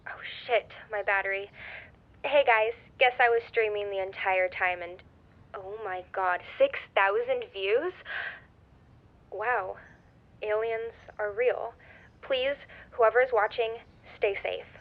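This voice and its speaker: An actress playing a shaken young livestreamer in an audio drama, speaking earnestly and casually to viewers through a phone filter. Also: female voice, medium pitch, slow pace, narrow, tinny audio. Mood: startled, amazed. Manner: earnest, solemn, casual wording. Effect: phone or stream filter.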